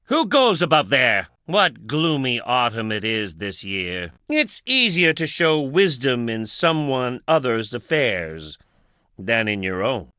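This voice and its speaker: Casting: middle-aged game patriarch, solemn and portentous, bright forceful tone, very dry recording. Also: male voice, mid-range voice, dry recording, exaggerated voice. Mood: fearful, then grave, ominous. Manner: solemn, portentous, formal stilted phrasing. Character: grave, brooding town patriarch guarding his wife's memory. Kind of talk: dramatic video game voice acting.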